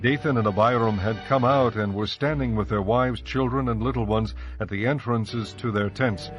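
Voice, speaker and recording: A man narrating a dramatized Bible audiobook in a measured, solemn storytelling style. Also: medium pitch. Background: faint music.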